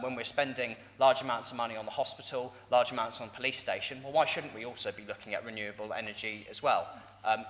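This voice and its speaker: Young guy running for election, talks fast, echoey hall, bit of background noise. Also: medium pitch, fairly bright tone. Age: young adult. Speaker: election candidate. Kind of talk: hustings speech.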